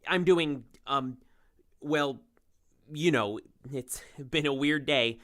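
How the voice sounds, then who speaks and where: A man's voice: fairly high pitch, slow, fairly bright tone. A man playing an awkward, eager, bumbling young lab assistant in an audio drama, stammering and correcting himself. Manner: flustered, stammering, self-correcting.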